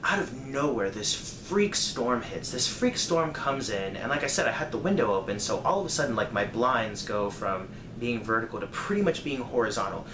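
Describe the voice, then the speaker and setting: A man telling a personal story casually, in a rambling, conversational way with dramatic emphasis. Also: mid-range voice. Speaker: storyteller vlogger. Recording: echoey room, clear background noise.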